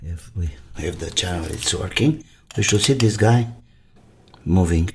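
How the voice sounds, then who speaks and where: A man thinking aloud while he repairs electronics, muttering to himself in short fragments with pauses. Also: sits low, background noise. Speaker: electronics repair video maker.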